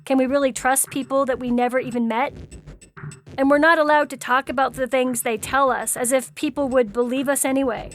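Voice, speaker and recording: Woman playing a high-voiced, defiant young woman in love. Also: natural voice. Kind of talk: dramatic reading of audio fiction.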